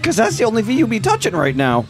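A man's voice: high-pitched, wildly swooping pitch, quick pace, creaky. A man in his thirties from Washington State, joking and ribbing in a casual group chat. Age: 30s.

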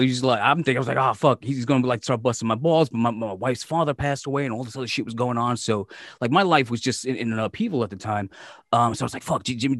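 A man's voice: mid-range voice, no echo, studio quality. A man hosting his own podcast, chatting in a loose, joking way with plenty of swearing. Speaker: podcast host. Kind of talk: casual, profane podcast chat.

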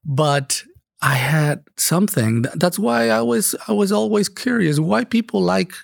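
Gravelly Mexican-accented man, halting. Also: high voice, lively intonation, dry recording, studio quality. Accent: Mexican Spanish. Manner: halting, many fillers and restarts. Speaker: parish choir singer, guest. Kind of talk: casual interview conversation.

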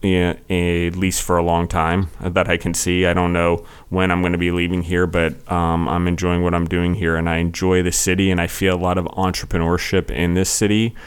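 Young male podcast host, low and steady, chatty and rambling. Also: normal speed, few pauses, clean tone. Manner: chatty, rambling with many fillers. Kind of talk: casual interview.

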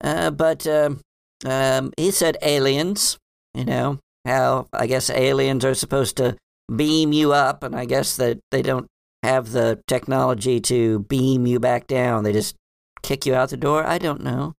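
A man's voice: medium pitch, fairly even intonation, measured pace, gravelly, no echo, studio quality. A man playing a hesitant, bookish librarian in a tabletop game, rambling and hedging in an earnest, mildly dismissive way. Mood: hesitant, recounting. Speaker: game master. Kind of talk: improvised in-character tabletop roleplay.

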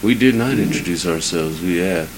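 Deep-voiced man, noisy podcast. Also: moderate pace. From Southern California. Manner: rambling and profane, with many restarts. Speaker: podcast co-host. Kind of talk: casual chat. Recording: noisy home podcast recording.

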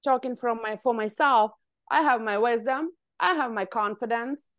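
Energetic high-voiced woman. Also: moderate pace, no echo, studio quality. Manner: energetic, emphatic, non-native grammar. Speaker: guest, hair stylist and business owner. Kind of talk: podcast interview.

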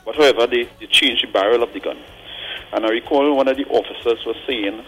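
A man's voice: mid-range voice, fast talker, fairly bright tone, faint music behind, poor audio. Earnest man in his forties. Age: 40s. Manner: earnest, thinks aloud, frequent "right?" tags and hesitations. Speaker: caller. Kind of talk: call-in radio commentary.

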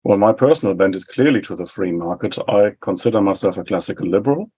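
A man in his forties from West Germany with a German accent, explaining public policy in measured, list-like answers as an interview guest. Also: on the low side, animated, darkish tone, husky. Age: 40s. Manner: measured, explanatory, rhetorical lists. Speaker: think tank head, guest.

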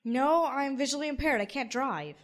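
A woman's voice: high-pitched, lively intonation, normal speed. A woman playing a defiant, blunt young blind college student in an audio drama, sarcastic and demanding. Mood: alarmed. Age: young adult.

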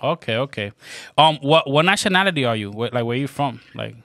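A man co-hosts a podcast in a bright, crisp voice that sounds clean and close to the mic. He asks rambling questions and keeps circling back with fillers. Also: medium pitch, lively intonation, fairly quick, no echo. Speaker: podcast co-host. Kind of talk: casual podcast interview.

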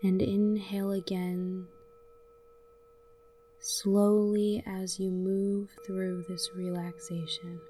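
A woman narrating a scripted guided meditation in a gentle, soothing manner, leaving long pauses between short phrases. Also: medium pitch, flat delivery, far from the mic, slight background noise.

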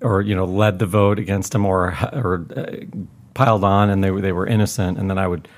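A man's voice: sits low, fairly even intonation, fairly quick. A man co-hosting a casual podcast chat about games, dry and self-deprecating. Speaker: podcast co-host.

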